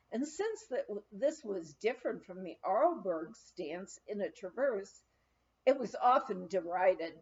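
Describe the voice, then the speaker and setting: A woman reading a memoir aloud in a halting manner, sometimes stumbling over words and going back over them. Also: a little high, a little mellow, lo-fi sound. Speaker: volunteer audiobook reader.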